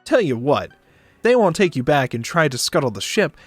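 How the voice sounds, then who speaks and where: A man voicing a teasing, warm-hearted male space traveler in a visual novel read-aloud. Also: on the high side, very animated, normal speed, no room sound, faint music behind.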